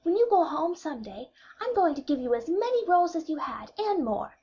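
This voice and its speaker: A woman's voice: very high voice, big pitch swings, moderate pace, mellow, lo-fi sound, voice pushed up. A woman voicing a kind young girl who coaxes and soothes a friend in an audiobook. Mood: soothing. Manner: soothing, coaxing.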